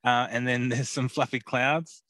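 A man's voice: medium pitch, flat delivery, measured pace, fairly bright tone, dry recording. A man co-hosting a podcast, describing photos in a hesitant way, full of ums and easy laughs. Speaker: podcast co-host. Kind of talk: casual chat describing photos.

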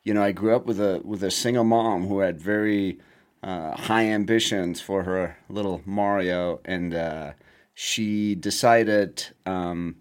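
A man in his forties from Germany, a podcast guest telling stories about his past in a relaxed, wry way. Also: sits low, a little slow, smooth. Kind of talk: casual storytelling interview.

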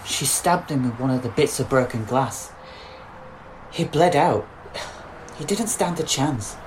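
An actor playing a distraught, bitter suspended policeman in an audio drama, speaking in an anguished, halting way. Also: medium pitch, animated, a little hiss or hum, natural voice, low-quality recording. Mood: defensive, anguished. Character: distraught suspended young policeman, bitter, guilt-ridden lover. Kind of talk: audio drama monologue and dialogue.

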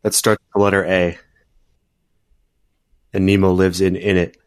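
A man on a podcast panel, speaking earnestly and in a measured way, with frequent fillers. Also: low voice, flat delivery, clear, resonant tone, no echo. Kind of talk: reflective discussion.

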